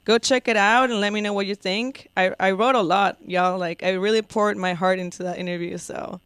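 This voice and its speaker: Bubbly woman radio host, crisp and dry. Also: mid-range voice, steady tone, fairly quick, fairly bright tone, no room sound. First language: Spanish. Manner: bubbly, laughs often, teasing. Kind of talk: casual radio interview. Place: radio studio.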